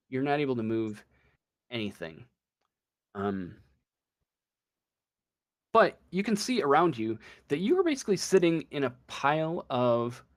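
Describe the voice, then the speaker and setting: A man working as game master, improvising a tabletop role-playing story in a halting, drawn-out way. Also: mid-range voice.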